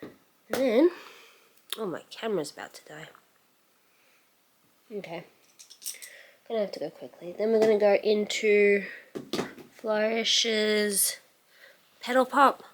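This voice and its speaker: A woman talks slowly at a medium pitch through a casual makeup tutorial. She thinks aloud as she works, and her sentences often trail off. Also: slight background noise. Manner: musing aloud while working, sentences trailing off. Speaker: beauty vlogger giving a makeup tutorial.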